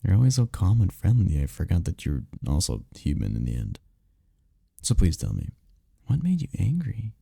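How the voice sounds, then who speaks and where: Man voicing a deep, gravelly, tender incubus boyfriend. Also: huge pitch swings, normal speed, no echo, studio quality, heavily acted. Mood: tender, reassuring. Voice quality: gravelly, dark low voice. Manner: soft, soothing, teasing, lapses into whispers. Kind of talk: intimate ASMR roleplay, comforting. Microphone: close microphone, dry.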